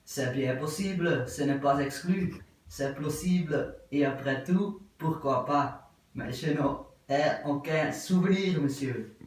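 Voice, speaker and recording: A man playing a prim English wife who answers with careful, formal politeness, repeating herself in a slow and even way. The voice rings through a very echoey room and reaches the mic from a distance, as in a theatre scene filmed on a camera. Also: a little high. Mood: politely puzzled. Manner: formal, measured, repetitive politeness. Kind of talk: theatre acting of an absurd play.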